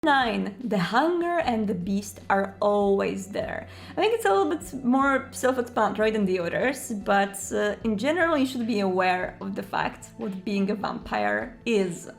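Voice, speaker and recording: A woman's voice: mid-range voice, big pitch swings, normal speed, gravelly, hardly any pauses, soft background music. A woman presenting tips in an explanatory video monologue, in a conversational manner.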